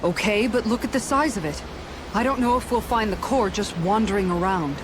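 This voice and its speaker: An actress playing a practical, motherly knight in a video game, measured and gently scolding, over music. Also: medium pitch, noisy background, close to an everyday voice. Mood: doubtful. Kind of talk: English video game cutscene acting.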